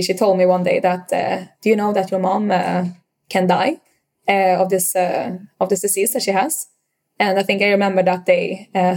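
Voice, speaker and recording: A young woman with a Swedish accent, speaking in a middle pitch. She answers interview questions as a startup founder, pausing often and restarting her sentences. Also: darkish tone. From Sweden. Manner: hesitant, many fillers and restarts.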